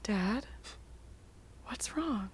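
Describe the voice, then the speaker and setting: A woman's voice: medium pitch, animated, very slow, a little hiss or hum. An actress playing a frustrated, defiant working woman and daughter in a stage drama, with an intense, emphatic manner. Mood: tentative. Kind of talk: stage play acting.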